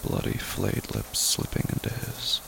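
A man narrating a horror story in a somber, grave and hushed manner, with deliberate pauses. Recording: loud rain noise behind voice.

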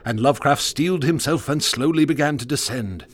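A man's voice: on the high side, slight background noise. Solemn man narrating a ghost story. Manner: measured, solemn.

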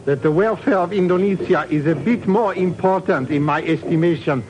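An actor playing a principled Indonesian patriot man in a 1950s radio drama, speaking with measured, courteous formality. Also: high voice, close to an everyday voice. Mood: politely firm. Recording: muffled, noisy old radio recording.

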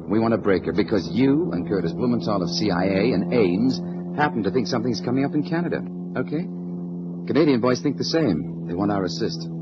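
A skeptical agent argues in quick, sardonic bursts, played by a man. His slightly low voice swoops up sharply when he protests. The old radio recording is dull, with loud hiss. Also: lively intonation, close to an everyday voice. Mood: wry, skeptical. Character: wisecracking male intelligence agent, skeptical. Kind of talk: 1960s radio drama acting.

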